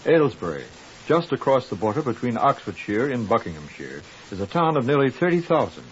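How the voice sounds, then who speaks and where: An actor as a formal narrator, giving precise scripted exposition in a 1950s radio drama. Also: male voice, mid-range voice, very animated, a little fast, lightly acted. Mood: informative. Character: informative documentary-style radio narrator. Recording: dull, hissy 1950s radio recording.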